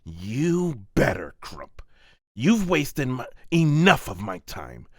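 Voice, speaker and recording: A man's voice: mid-range voice, wildly swooping pitch, takes it slowly, no room sound, studio quality, pitched above the performer's own voice, full character voice. A man voicing a menacing, contemptuous villain master in a dramatic fantasy manga dub, speaking with bored disdain. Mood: cold threat.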